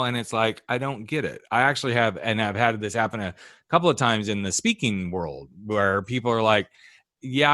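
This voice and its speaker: A man's voice: medium pitch, fairly bright tone, no room sound, clean studio sound. A man hosting a podcast interview, telling anecdotes in a casual way and acting out what other people said. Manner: storytelling, acting out the other people in his stories. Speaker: podcast host.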